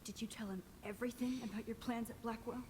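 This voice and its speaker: An actress playing a defiant, distraught teenage girl held captive in a video game, speaking in an intense, hushed, accusing way. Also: fairly high pitch, fairly even intonation, fast talker, slight background noise, natural voice. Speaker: heroine in a story video game. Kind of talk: dramatic video game acting.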